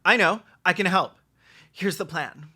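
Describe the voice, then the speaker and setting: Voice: mid-range voice, a little slow, sharp tone, breathy edge. A video host giving comedic reaction commentary in a theatrical, exclamatory and sarcastic way.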